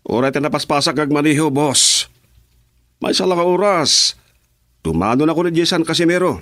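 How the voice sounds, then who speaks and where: A man's voice: on the high side, animated, moderate pace, fairly bright tone, dry recording, clean studio sound. An actor playing a deferential, questioning henchman and driver in a radio drama, with an Ilocano accent. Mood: deferential, hesitant.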